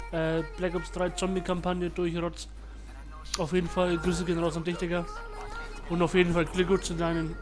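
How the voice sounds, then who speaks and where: A young man with a cold and a blocked nose, hosting a gaming channel in a laid-back, slangy way with lots of fillers. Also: high-pitched. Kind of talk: casual gameplay commentary. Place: home room, voice-over on game footage.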